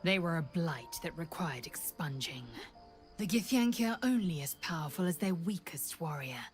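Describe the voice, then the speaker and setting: A woman's voice: lowish voice, animated, normal speed, soft background music, close to an everyday voice. An actress playing a proud, fierce and disdainful warrior woman of an alien race in a fantasy video game, speaking in a haughty, formal, severe manner. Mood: cold contempt.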